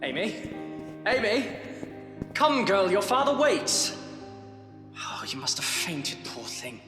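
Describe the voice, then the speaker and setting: An actor playing a kind, earnest Victorian gentleman in an audio drama, tender and courteous in manner. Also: high voice, very animated, slow pace, exaggerated voice. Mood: worried, soothing. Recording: echoey reverberant space, loud music behind.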